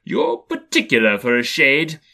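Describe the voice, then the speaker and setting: A man's voice: a little high, very animated, moderate pace, sharp tone, pitched above the performer's own voice, exaggerated voice. A man voicing a caustic, cold, sceptical old miser in a blustering, exclamatory manner for a storybook. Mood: caustic, challenging.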